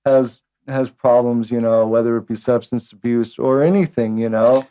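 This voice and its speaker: A man's voice: medium pitch, fairly even intonation, moderate pace, mellow, clean tone. A man hosting a casual interview, speaking hesitantly with many fillers and repeated words. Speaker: host.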